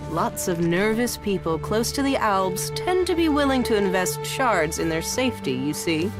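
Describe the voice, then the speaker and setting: A jaded businesswoman of a post-apocalyptic game world, acted by a woman, sizes people up with dry sarcasm. Her voice sits at a middle pitch, with music plainly playing behind it. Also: lightly acted. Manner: sardonic, sizing up newcomers. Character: shrewd, jaded woman smuggler trading in wartime. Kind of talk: video game dialogue acting.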